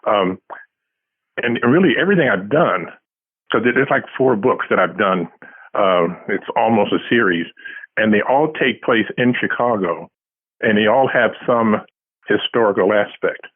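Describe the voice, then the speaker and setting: A man's voice: fairly low pitch, expressive, moderate pace, slightly rough. An older man answering interview questions casually as a guest author, over a tinny phone-like line.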